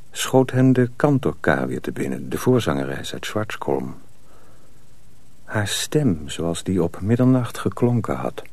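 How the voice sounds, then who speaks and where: A male narrator of a radio play with a lowish voice and highly varied melody. He tells scripted story prose dramatically, in a dry studio sound. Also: a little mellow, dry recording.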